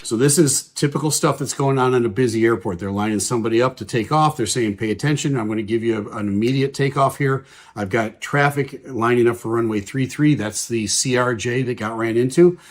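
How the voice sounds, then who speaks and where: A man's voice: mid-range voice, a little fast. A man giving explanatory commentary on air traffic audio in a plain-spoken, everyday way. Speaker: aviation commentator.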